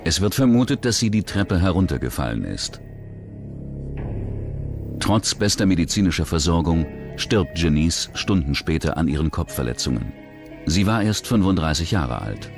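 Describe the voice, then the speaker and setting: A man reading scripted true-crime documentary narration in a measured, dramatic storytelling manner. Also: low-pitched, big pitch swings, music in the background.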